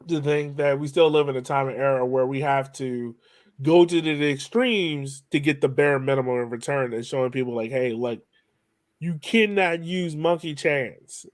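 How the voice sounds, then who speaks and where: A man hosting a podcast in a casual conversational style, musing informally and building rhetorical questions. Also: mid-range voice. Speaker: podcast host.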